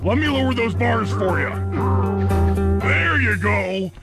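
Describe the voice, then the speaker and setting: A man voicing a big, goofy, eager hippo sidekick in a video game, creaky, hearty and simple in his talk. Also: sits high, lively intonation, takes it slowly, loud music behind the voice. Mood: helpful. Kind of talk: video game cutscene and tutorial voice acting.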